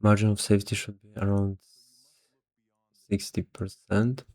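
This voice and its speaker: Tired guy doing a hesitant think-aloud investing tutorial, flat delivery, very dry close sound. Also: lowish voice, unhurried, dry recording. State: somewhat tired. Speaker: investor explaining stock valuation. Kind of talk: casual think-aloud screen walkthrough.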